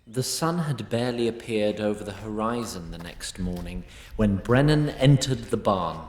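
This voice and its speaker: A man narrating a scripted fantasy story in a measured, dramatic manner with an occasional wry touch. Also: on the low side, lively intonation, darkish tone, reverberant, big-room sound.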